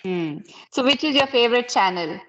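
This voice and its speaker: A woman's voice: a little high, lively intonation, normal speed, highs cut off. A woman in her thirties from Karnataka whose first language is Kannada. She speaks English with an Indian accent and leads a patient, question-by-question conversation practice. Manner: patient, asks many simple questions.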